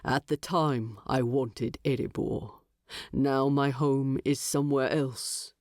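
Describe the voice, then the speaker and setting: A woman voicing a weary, resolute male dwarf king in an audiobook story, with a grave, deliberate, gravelly delivery. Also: a bass voice, steady tone, darkish tone, no room sound, studio quality, pitched below the performer's own voice. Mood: earnest, weary.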